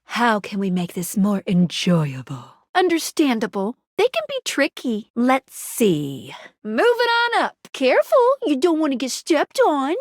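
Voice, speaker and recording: A woman's voice: on the high side, wildly swooping pitch, measured pace, no room sound, studio quality, full character voice. A woman voicing a perky, quirky pair of talking magic glasses, a bubbly, self-deprecating guide in a video game, with cutesy, jokey delivery. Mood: helpful, upbeat. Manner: bubbly, cutesy, jokey with playful baby-talk and asides. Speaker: game guide and tutorial character. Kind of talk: animated video game character voice acting.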